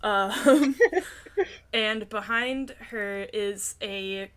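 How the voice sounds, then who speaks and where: A woman player in a tabletop game speaks casually as she improvises a description. Her tone is bright and forward, and she goes slowly, in halting pieces. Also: mid-range voice, clear, resonant tone. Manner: unhurried, halting.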